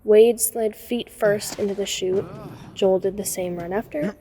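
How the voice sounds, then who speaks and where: A woman's voice: medium pitch, steady tone, unhurried, mellow, a little hiss or hum, natural voice. A woman playing a watchful young woman who narrates a night break-in in a scripted audio drama. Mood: measured, suspenseful. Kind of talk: scripted first-person narration.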